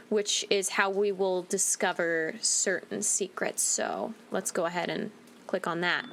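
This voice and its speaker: A woman hosting a game playthrough with casual, chatty, rambling commentary. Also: mid-range voice. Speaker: gaming video host.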